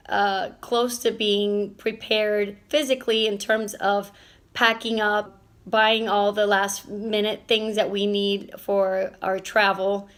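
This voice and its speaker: A woman's voice: medium pitch, fairly bright tone. A woman from Venezuela hosting a casual family vlog, rambling a bit with restarts and fillers as she talks. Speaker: vlog host and stay-at-home mom.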